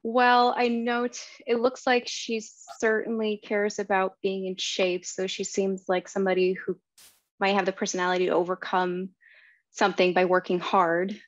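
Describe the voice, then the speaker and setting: A woman's voice: medium pitch, completely flat delivery, moderate pace, no echo. A woman volunteering in an online class, talking casually and tentatively as she thinks aloud. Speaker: workshop volunteer. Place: online video call. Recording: dull lo-fi call audio, dry.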